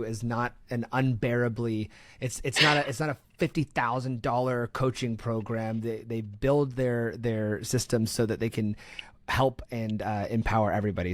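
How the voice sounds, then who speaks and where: A man's voice: lowish voice, dry recording. A man hosting a podcast in an informal, slangy way, rephrasing his thoughts as he goes. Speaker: podcast host. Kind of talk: casual interview chat.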